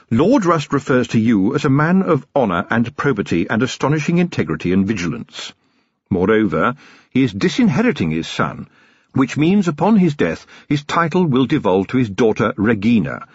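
A man's voice: lowish voice, slightly rough, no echo, highs cut off. A man voicing a cold, ironic middle-aged city tyrant who speaks in a dry, precise, sardonic way.

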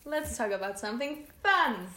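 A woman's voice: on the high side, animated, moderate pace, sharp tone. A young woman co-hosting a podcast in casual chat, hesitant and self-deprecating. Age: young adult. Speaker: podcast co-host. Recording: echoey room.

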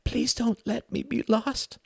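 A man's voice: very high-pitched, big pitch swings, very slow, vocal fry, breathy edge, no echo, studio quality, pitched above the performer's own voice, full character voice. A man voicing a wounded, desperate young wife, pleading and bitter in an emotional audiobook drama. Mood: tearful, pleading.

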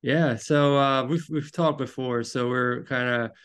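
Man, podcast host, mid-pitched voice, friendly, hesitant fillers. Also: steady tone, measured pace, a bit bright, clear, resonant tone. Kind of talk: interview host questions.

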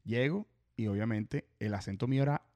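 A man's voice: mid-range voice, brisk, a little mellow. A man hosting a casual podcast conversation, with slangy, friendly banter. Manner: slangy, says brother a lot. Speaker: podcast host.

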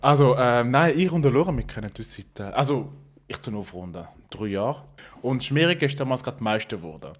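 A man's voice: medium pitch, fairly quick. A man, telling stories in a casual chat with laughs. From Congo. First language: French. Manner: animated storyteller, laughs often. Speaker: guest.

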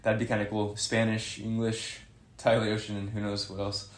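A man's voice: on the low side, monotone, moderate pace. A young man who is a guest on a podcast, chatting casually in a rambling way and correcting himself. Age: young adult. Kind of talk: casual interview chat. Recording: echoey room.

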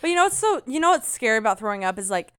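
A woman co-hosting a podcast in casual chat, with a loud, booming voice and a teasing, animated way of talking full of fillers. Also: very fast, clear, resonant tone, dry recording, clean studio sound. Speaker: podcast co-host.

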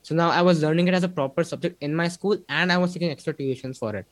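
A man's voice: on the high side, quick pace, no room sound. Young Indian man, rambling casual chat. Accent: Indian English. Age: young adult. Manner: rambling, many fillers like "like" and "um". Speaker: guest. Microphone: video call.

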